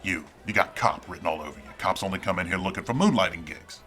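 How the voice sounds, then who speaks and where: Deep, gruff barman. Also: fast, pushed and bright, soft background music. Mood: suspicious, curt. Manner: curt, hostile. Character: gruff, suspicious man working at a dive bar. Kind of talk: film noir radio play acting.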